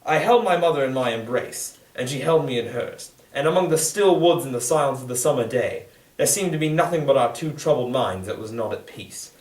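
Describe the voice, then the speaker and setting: A young man reads literary prose aloud in an echoey room, a little away from the microphone. His delivery is earnest and formal, with a lively, expressive range of pitch. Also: fairly quick, far from the mic. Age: young adult. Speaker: student reader. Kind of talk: dramatic literary reading, scripted narration.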